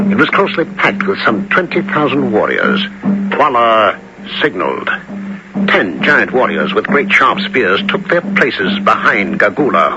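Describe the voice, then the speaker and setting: An actor playing an adventurer who recounts his perilous expedition in dramatic, measured radio narration. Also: on the low side. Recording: dull old broadcast with loud music.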